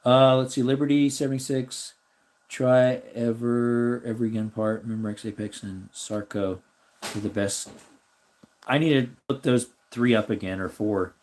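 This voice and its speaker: Slightly raspy man chatting on a live stream. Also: medium pitch, steady tone, measured pace, a little mellow. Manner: rambling, thinks aloud while looking things up. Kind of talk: casual live stream chat, reading viewer comments.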